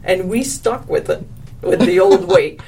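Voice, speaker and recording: French-Canadian woman, lively and talkative. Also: fairly low pitch, a little slow, creaky, distant mic, a crowd in the background. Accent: Quebec French. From Quebec. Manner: animated, repeats words, laughs easily. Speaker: French teacher and author, guest. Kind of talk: casual interview talk.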